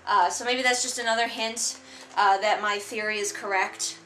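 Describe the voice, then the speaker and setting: A woman's voice: medium pitch, steady tone, moderate pace, pushed and bright, reverberant, slight background noise. A woman giving casual comedic book commentary in a sarcastic, exasperated, conversational way. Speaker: host of a book read-along.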